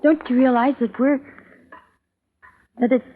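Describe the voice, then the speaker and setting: A woman's voice: high-pitched, fairly even intonation, a little slow. An actress playing an anxious, guilt-ridden woman in an old-time radio drama, faltering and fearful. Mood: guilty, fearful. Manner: fearful, faltering, breathless pleading. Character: anxious, guilt-ridden female accomplice to murder. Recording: narrow-band old radio recording, faint noise.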